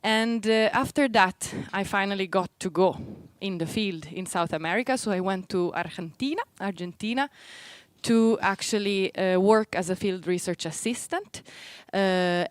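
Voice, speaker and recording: A woman's voice: mid-range voice, moderate pace, clean tone, dry recording. A young woman from Rome with an Italian accent, giving an informal academic talk and explaining things step by step as she goes. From Rome, Italy. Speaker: postdoctoral researcher giving a seminar. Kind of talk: informal academic presentation to a live and streamed audience.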